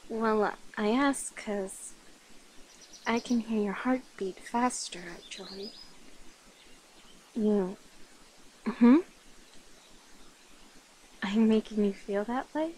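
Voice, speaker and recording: A woman playing a flirty, affectionate, slightly nervous vampire in an intimate ASMR roleplay, speaking softly, coyly and hesitantly to the listener. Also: fairly high pitch, drawn-out words, pauses often, a little hiss or hum. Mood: tender, flirty. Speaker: voice actor in an audio roleplay.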